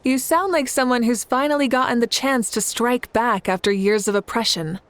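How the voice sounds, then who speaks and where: A woman's voice: fairly high pitch, moderate pace, a little hiss or hum, natural voice. An actress playing a confident, teasing woman leader in a game, speaking in a dry, self-assured way. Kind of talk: game dialogue. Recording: faint game music behind.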